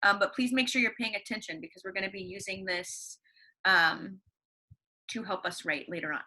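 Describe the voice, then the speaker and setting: A woman's voice: mid-range voice, fairly even intonation, normal speed, pushed and bright. A woman teacher reading a story aloud to her class, chatty, with lots of fillers and questions to the children.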